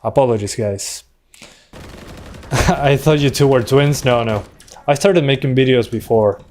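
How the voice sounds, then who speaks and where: A young man talks in a relaxed, chatty way as he streams a shooter from his home setup. His voice sits in an ordinary middle range. Faint gunfire from the game runs underneath. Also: mid-range voice, unhurried, a little hiss or hum. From Uruguay. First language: Spanish. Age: 20s. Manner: relaxed, chatty, answers chat between fights. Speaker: tech streamer. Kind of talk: casual live-stream commentary while gaming. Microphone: desktop USB microphone.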